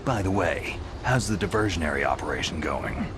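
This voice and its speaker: A stoic game infiltrator speaks in a very deep, gravelly voice. He is terse and cool, a hardened male operative, heard over clear background noise. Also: animated, brisk. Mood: cool, dry. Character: gruff, stoic male special-forces infiltrator, flirtatious streak. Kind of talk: dramatic video game voice acting.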